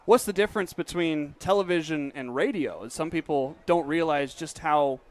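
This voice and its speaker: Young guy doing radio play-by-play from a ballpark booth, slightly high voice, brisk calls then easy questions. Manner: brisk game calling between relaxed questions. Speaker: play-by-play radio broadcaster and host. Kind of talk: baseball radio play-by-play mixed with interview questions. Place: stadium broadcast booth.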